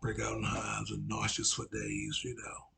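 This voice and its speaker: A man in his forties with a raspy voice, a religious teacher giving a rambling monologue that repeats itself and is filled with "you know.". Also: lowish voice, big pitch swings, normal speed, sharp tone. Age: 40s. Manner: repetitive, frequent "you know" fillers, lecturing.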